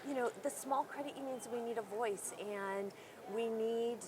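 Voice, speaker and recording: A woman's voice: on the high side, moderate pace, a crowd in the background. A woman who runs a small credit union, chatting in a conference interview, self-deprecating and full of fillers. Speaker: credit union CEO, guest. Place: busy conference hall. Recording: noisy conference floor.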